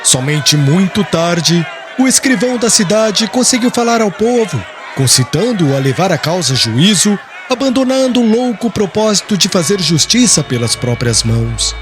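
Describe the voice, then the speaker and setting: A Brazilian man reading an audiobook as dramatic scripted narration, in a measured, formal and theatrical storytelling manner. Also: high voice, very animated, music in the background.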